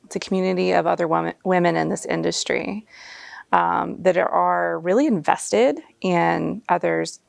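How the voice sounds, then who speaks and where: A woman from a small town in Alabama talks in an interview. Her voice is low and heavily gravelly, and it is recorded dry and close. She speaks thoughtfully and pauses often. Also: dry recording. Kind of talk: interview to camera.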